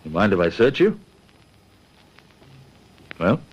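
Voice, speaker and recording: Slow, husky Australian police constable. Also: male voice, low-pitched, wildly swooping pitch, muffled recording, full character voice. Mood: stern, probing. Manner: deliberate, clipped questioning. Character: stern, wary Queensland police constable. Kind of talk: radio detective drama acting.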